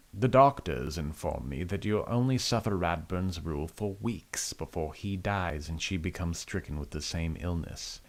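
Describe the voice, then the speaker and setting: A man playing a confident, menacing, condescending Duke in an audio drama, speaking deliberately. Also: low voice. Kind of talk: dramatized audio story acting.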